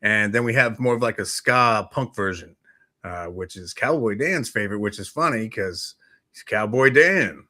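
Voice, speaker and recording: Bright, deep-voiced male co-host. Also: moderate pace, dry recording, studio quality. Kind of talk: casual chat show banter.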